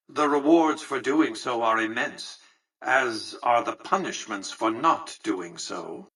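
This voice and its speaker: An actor playing an imperious, calculating elderly tycoon in a video game, speaking formally with precise, condescending diction. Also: male voice, medium pitch, sharp tone, slightly rough, recorded from a distance, heavily acted, low-quality recording. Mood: smug, persuasive. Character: imperious elderly male tycoon ruling a casino city, coldly calculating. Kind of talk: scripted game dialogue.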